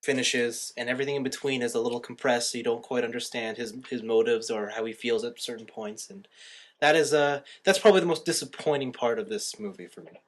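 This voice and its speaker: A man's voice: medium pitch, brisk. A man hosting a casual movie review podcast, giving opinions and often rewording himself as he goes. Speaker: podcast host.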